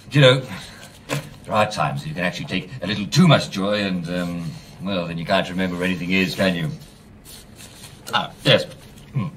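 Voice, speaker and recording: Animated British TV presenter, cracking. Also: male voice, sits low, recorded from a distance, slight background noise. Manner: hesitant, theatrical, full of asides. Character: chirpy male TV presenter cracking into guilt and despair. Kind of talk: rambling broadcast monologue, acted.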